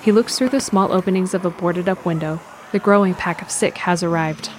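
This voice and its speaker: A woman's voice: lowish voice, a little fast, a little hiss or hum. A woman reading scripted present-tense narration for an audio drama.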